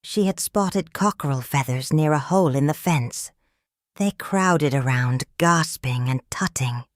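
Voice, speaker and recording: A woman reading a children's picture book aloud in a calm, measured, expressive storytelling manner. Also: low-pitched, no room sound, studio quality. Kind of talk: children's read-aloud narration.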